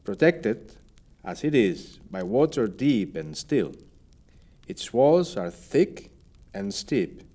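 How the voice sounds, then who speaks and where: Man acting a slow-spoken proud Spanish sea captain. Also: on the low side, dark tone, breathy edge, exaggerated voice. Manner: measured, rhetorical, formal. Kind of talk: audio play, declaimed verse.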